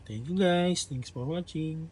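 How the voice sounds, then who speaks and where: A man with a Filipino accent casually unboxing a gadget in a vlog, relaxed and chatty with lots of fillers. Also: a little high, slow pace, slightly rough. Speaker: vlogger.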